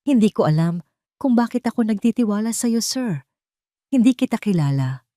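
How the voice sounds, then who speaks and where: A woman narrator plays a tender, worried mother in an audio drama. She is hesitant and soft-hearted, heard close and dry. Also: on the high side, lively intonation, moderate pace, dry recording, voice pushed up, natural voice. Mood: wary. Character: anxious, tender mother of a sick child.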